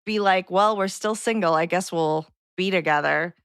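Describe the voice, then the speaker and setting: A woman's voice: lowish voice, normal speed, fairly bright tone, clear, resonant tone, no echo, studio quality. A woman podcast co-host talking in casual conversation. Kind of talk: casual chat.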